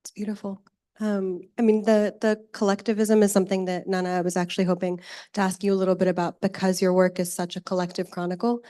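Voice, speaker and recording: A woman moderating a panel discussion, asking questions in a soft, hesitant way. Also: mid-range voice, little pitch movement, quick pace. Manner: soft-spoken, hesitant with fillers.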